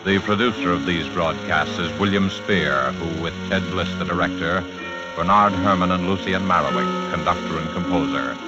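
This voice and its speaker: A muffled vintage broadcast of a man with a deep voice over prominent music. He narrates a suspense programme in a measured, formal, ominous announcer style of the 1940s. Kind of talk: 1940s radio scripted narration. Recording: muffled 1940s radio, loud music behind.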